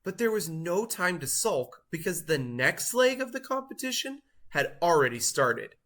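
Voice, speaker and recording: A man voicing a boastful, show-off cheese in a theatrical, grandiose storybook read-aloud with drawn-out emphasis. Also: high voice, sing-song, a bit bright. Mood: astonished, indignant.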